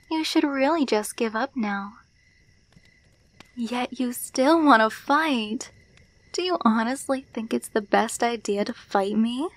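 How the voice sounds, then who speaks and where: A woman's voice: fairly high pitch, dry recording, lightly acted. A woman playing a possessive alpha werewolf in an ASMR roleplay, taunting and condescending as she coos pet names. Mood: mocking. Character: dominant, possessive female alpha werewolf, teasing and menacing.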